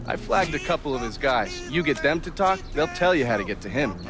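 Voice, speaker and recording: A man's voice: mid-range voice, animated, a little fast, over music, close to an everyday voice. An actor playing a cynical, hot-tempered male intelligence operative in a video game, brash and talkative. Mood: briefing, confident. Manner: brash, foul-mouthed, talkative.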